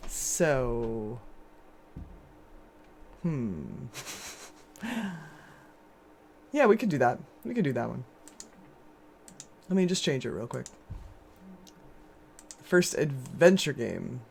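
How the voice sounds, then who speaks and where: Hoarse scratchy woman streamer, sore throat, rambly and chatty with lots of restarts. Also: big pitch swings, drawn-out words, pauses often, a little mellow. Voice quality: lower and scratchier than usual. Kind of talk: casual chat while gaming.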